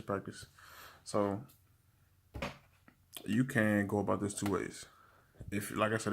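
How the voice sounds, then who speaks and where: A young man giving casual language-learning tips to camera, talking in a relaxed, rambling way with many fillers and restarts. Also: on the low side. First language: English.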